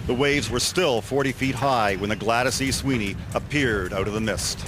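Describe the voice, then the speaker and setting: Very loud sea and wind noise runs behind a man's storytelling narration. His pitch is average, and he reads a scripted historical drama. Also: moderate pace.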